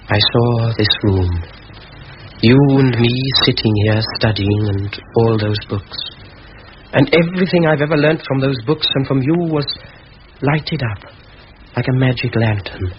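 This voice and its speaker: An ardent young Welshman acted by a man, newly eloquent and restless, pours out his words in a Welsh accent. The voice is mid-pitched, in 1940s radio drama style. The old recording is muffled, with strong background noise. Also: close to an everyday voice. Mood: awed, impassioned. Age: young adult. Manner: fervent, articulate, pouring out words. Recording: dull, noisy vintage radio recording.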